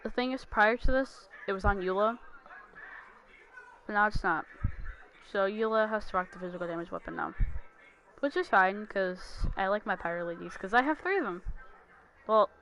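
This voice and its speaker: A woman's voice: mid-range voice, expressive, moderate pace, pauses often, fairly bright tone. A woman giving casual, informal gameplay commentary, thinking aloud as she plays. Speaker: gaming streamer. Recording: clearly noisy home recording.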